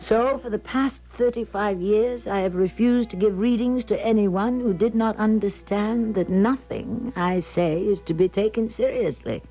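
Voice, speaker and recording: An actress playing a grave, haunted older female clairvoyant, narrating a 1970s radio drama in a measured, solemn way. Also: medium pitch, lightly acted. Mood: grave, resigned. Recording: dull 1970s radio broadcast, music behind.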